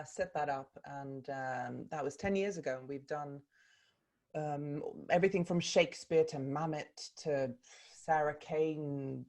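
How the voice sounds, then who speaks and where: Woman, from Sweden, native Swedish speaker, low voice, interview guest, video call, thoughtful with restarts. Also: vocal fry. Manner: thoughtful, with frequent ums and restarts. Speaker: actor and voice coach, interview guest. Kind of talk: relaxed conversational interview.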